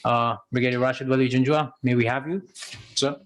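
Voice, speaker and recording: A man moderating a formal policy webinar, polite and measured, with frequent pauses and fillers. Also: medium pitch, steady tone.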